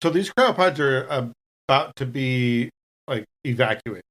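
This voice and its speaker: A woman's voice: a bass voice, expressive, unhurried. A woman playing a tabletop game, casually and wryly thinking through what her character does.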